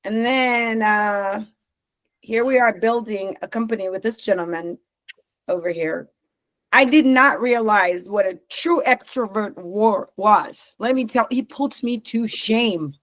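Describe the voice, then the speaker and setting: A woman from Zambia, a company co-founder, talking in a podcast interview in an animated way, laughing often and restarting her sentences. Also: mid-range voice, a little slow.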